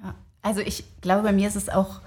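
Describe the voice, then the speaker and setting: A woman's voice: mid-range voice, completely flat delivery, fairly quick, a little mellow. A woman giving conversational interview answers, thinking aloud in an informal, explanatory way. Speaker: interview guest, researcher.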